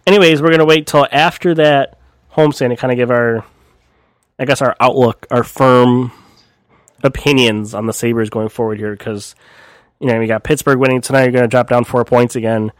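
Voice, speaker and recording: A man co-hosting a casual sports podcast, a little raspy from a cold, talking in a rambling way with lots of fillers. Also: medium pitch, fairly even intonation, moderate pace, no room sound, studio quality. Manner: rambling, many fillers and restarts. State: recovering from a cold, slightly raspy. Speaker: podcast co-host.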